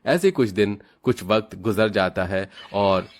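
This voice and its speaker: A man's voice: lowish voice, animated, measured pace, a little hiss or hum. A man narrating a scripted moral story for children as its storyteller.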